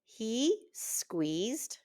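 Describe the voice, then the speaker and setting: A woman reading a children's story aloud in deliberate, word-by-word phrases, as a reading teacher does for early readers. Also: fairly high pitch, big pitch swings, darkish tone.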